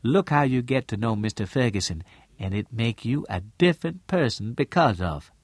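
A man voicing an earnest, shrewd woman who works in real estate, speaking in a halting, deliberate way in an audiobook. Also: very animated, no room sound, heavily acted. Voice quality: low, mellow.

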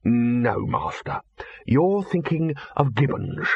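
Guy putting on a jittery fussy bursar, stammery and soothing, husky voice, dull dry audio. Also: mid-range voice, big pitch swings, takes it slowly, darkish tone, no echo, exaggerated voice. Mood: nervous. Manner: hesitant, stammering, placating. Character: nervous fussy male college bursar. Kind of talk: audiobook character voice.

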